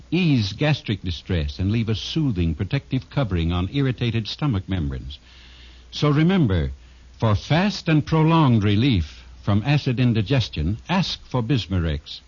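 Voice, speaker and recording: A man's voice: mid-range voice, a little mellow, slightly rough. A man reading a scripted 1950s radio advertisement as announcer, in a polished, emphatic sales delivery. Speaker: commercial announcer. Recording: muffled old radio broadcast recording.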